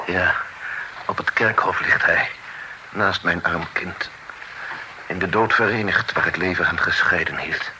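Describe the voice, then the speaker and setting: A man's voice: sits low, unhurried, pauses often. An actor playing a grieving, bitter father in a radio drama, speaking mournfully. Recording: noisy radio drama recording.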